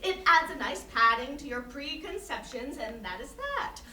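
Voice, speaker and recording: A woman's voice: high voice, sing-song, normal speed, sharp tone, full character voice. A woman acting an intense, exasperated Chinese intellectual in a staged play reading, lecturing emphatically and with condescension. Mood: pointed lecturing. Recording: roomy distant stage recording, faint noise.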